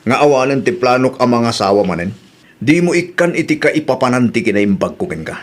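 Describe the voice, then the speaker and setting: A man's voice: medium pitch, lively intonation, a little fast, natural voice. A man with a Filipino Ilocano accent playing a stern, exasperated widowed father in a drama. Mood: firm, reproachful. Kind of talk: Ilocano radio-style drama acting.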